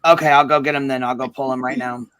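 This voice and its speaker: Clear, bright man with flat intonation. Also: mid-range voice, fast talker, dry recording. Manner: informal, questioning. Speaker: true crime livestream host. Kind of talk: casual chat, recapping news.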